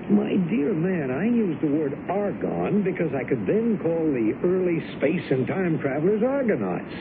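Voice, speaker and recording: A man's voice: very animated, normal speed. An actor in a radio drama playing a nervous, flustered author who stammers as he tries to placate a threatening intruder. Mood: flustered, placating. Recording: muffled lo-fi old radio broadcast, rumbling hiss.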